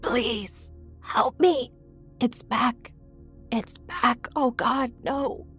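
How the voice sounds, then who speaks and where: A woman voicing a frightened, confused mother in an audio story, with a breathy, whispery, pleading delivery. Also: on the high side, fairly even intonation, fairly bright tone, faint music behind, pitched above the performer's own voice, heavily acted. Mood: panicked pleading. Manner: drawn-out, gasping, pleading. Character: frightened, confused mother gripped by night terrors.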